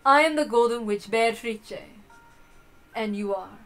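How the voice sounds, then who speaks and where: A woman's voice: a little high, a little slow, faint music behind, voice pushed down, close to an everyday voice. A woman voicing a haughty elder-sister witch with an arrogant, formal way of speaking in a visual novel reading. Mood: haughty, challenging. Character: haughty, self-proclaimed elder-sister golden witch, female.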